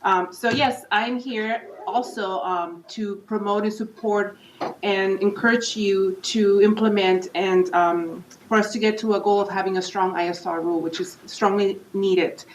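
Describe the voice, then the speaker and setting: A woman's voice: medium pitch, fairly even intonation, measured pace, slight background noise. Earnest woman organizer in an echoey hall. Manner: earnest, urgent, pleading. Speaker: community organizer giving public comment. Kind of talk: public comment at a hearing. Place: public meeting hall. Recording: roomy, distant, dull hall mic.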